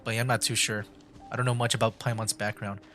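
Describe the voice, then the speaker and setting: A man's voice: lowish voice, flat delivery, fast, fairly bright tone. A young man livestreaming a video game, giving casual commentary in hesitant, trailing phrases. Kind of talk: casual game commentary, reading dialogue choices aloud. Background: game music.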